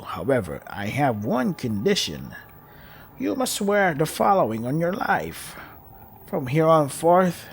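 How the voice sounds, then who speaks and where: Slow, menacing inhuman monster, unhurried and solemn, put on by a male narrator. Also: a little high, a little hiss or hum.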